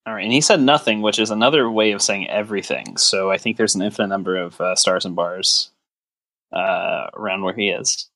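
A man's voice: lowish voice, a little fast, bright, forceful tone, no room sound. A man co-hosting a casual podcast, joking in a sarcastic, teasing and mock-grandiose way. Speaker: podcast co-host. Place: recorded remotely over a voice chat app.

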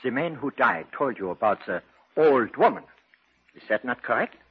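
An actor playing a solemn, learned occult researcher who explains things formally and ominously in an old radio drama. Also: male voice, mid-range voice, expressive, normal speed, slightly rough. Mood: ominous, commanding. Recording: muffled tinny 1940s-50s radio broadcast.

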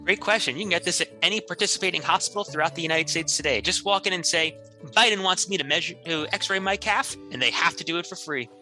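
Bright, high-voiced man improvising radio ads. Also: fast, faint music behind, rough recording. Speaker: improv comedy performer. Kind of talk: improvised parody radio commercials.

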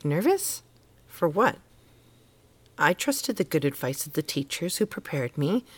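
A woman's voice: deep voice, sing-song, measured pace, husky, dry recording, full character voice. An actress playing an earnest, exacting mother devoted to tradition in an audio drama, with an insistent, instructive manner. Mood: earnest, reassuring. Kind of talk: naturalistic audio drama dialogue.

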